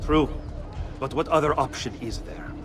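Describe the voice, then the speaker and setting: An actor playing a wary rebel ally, speaking in a measured, dry way. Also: male voice, on the high side, background noise, natural voice. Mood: guarded. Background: loud score.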